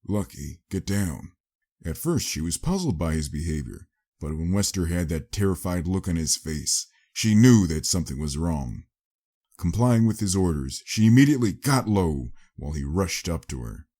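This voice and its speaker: Deep, husky male story narrator. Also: warm tone. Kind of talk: dramatic scripted story narration.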